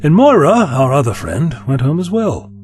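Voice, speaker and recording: Animated male time-travelling adventurer played by an actor. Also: on the high side, moderate pace, faint music behind. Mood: chatty, reflective. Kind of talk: audio drama acting.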